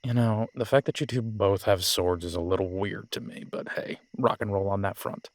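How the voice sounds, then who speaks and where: Man playing casual, lowish-voiced American werewolf soldier. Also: moderate pace, no echo, studio quality, natural voice. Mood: amused. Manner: casual, colloquial, hesitant phrasing. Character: easygoing, frank male werewolf, former soldier. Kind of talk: dramatic audio roleplay acting.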